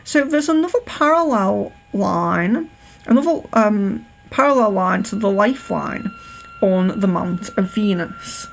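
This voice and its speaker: An English woman from near Liverpool with a slight Liverpool accent, reading palms on a livestream in a careful, clear telephone voice with frequent ums and restarts. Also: medium pitch, animated, measured pace, a little hiss or hum, rough recording. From England. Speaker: psychic livestream host.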